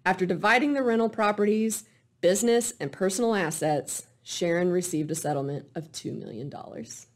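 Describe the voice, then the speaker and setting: Low-pitched woman presenting a case story. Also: normal speed. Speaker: forensic investigator presenting a case study.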